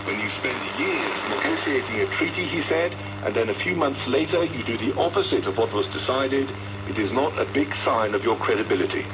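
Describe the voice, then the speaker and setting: A man's voice: mid-range voice, lively intonation, fairly quick. A man giving a scripted news report as a correspondent. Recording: noisy shortwave radio broadcast.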